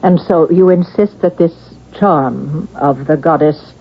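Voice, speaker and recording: An actress playing a guarded, probing woman psychiatrist with a husky voice in a vintage radio drama, measured and clinical. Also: deep voice, a little hiss or hum. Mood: sceptical, probing. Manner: measured, clinical, hesitant about feelings. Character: guarded, probing female psychiatrist, reserved about feelings. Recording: dull vintage radio broadcast.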